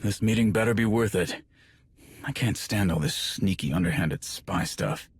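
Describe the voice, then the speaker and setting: An actor playing a blunt, grumbling, roguish male soldier with a husky voice in a video game. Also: fairly low pitch, moderate pace, a little hiss or hum, close to an everyday voice. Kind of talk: cinematic video game acting.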